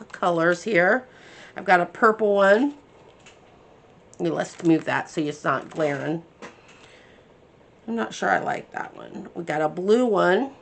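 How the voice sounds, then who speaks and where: A woman's voice: medium pitch, fairly bright tone, a little hiss or hum. A woman hosting a craft tutorial, chatting casually and thinking aloud as she works, a bit congested from her sinuses. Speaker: crafting channel host.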